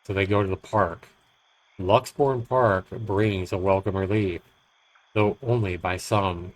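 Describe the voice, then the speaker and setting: Male narrator for horror visual novel: slightly deep, deliberate, expressive dramatic delivery. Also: poor audio. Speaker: streamer reading a visual novel aloud.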